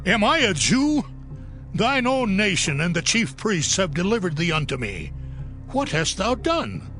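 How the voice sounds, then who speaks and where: A man's voice: sits high, very animated, measured pace, fairly bright tone, exaggerated voice. An actor playing Pilate, a male Roman governor, questioning in formal old-fashioned speech in a dramatized scripture reading with music behind. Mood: pressing. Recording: audio drama with music bed.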